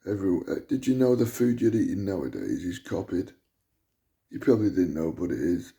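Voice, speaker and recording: A man with a loud voice, delivering an indignant, repetitive rant to camera as a vlogger. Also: low-pitched, animated, a little fast, gravelly. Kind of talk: ranting monologue to camera.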